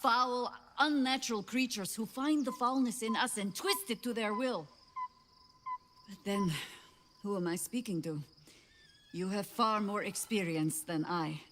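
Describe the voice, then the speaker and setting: An actress playing a weary, wise woman warrior who speaks in a solemn, measured way, with a long reverb and music underneath. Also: mid-range voice, a bit bright, natural voice. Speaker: game character.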